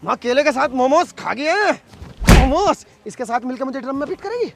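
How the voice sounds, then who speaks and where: Man playing high, gravelly, irritable village man. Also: big pitch swings, brisk, loud music behind the voice, exaggerated voice. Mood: irritated, suspicious. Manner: exasperated, cursing. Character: irritable, suspicious, foul-mouthed village man. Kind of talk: Hindi village comedy sketch acting.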